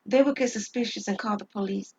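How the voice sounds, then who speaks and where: A woman's voice: mid-range voice, fairly quick, a little mellow, dull audio, slight background noise, lightly acted. A woman playing a nervous but bold store cashier, speaking cautiously in an audio drama. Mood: nervous, careful. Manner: cautious, questioning.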